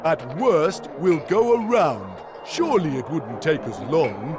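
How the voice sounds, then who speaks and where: A man's voice: fairly high pitch, big pitch swings, unhurried. A man voicing a big, simple, good-natured and ever-hungry Gaulish strongman in a cartoon game, with a naive, hearty manner. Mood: plaintive. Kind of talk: animated game cutscene acting. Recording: music behind the voice.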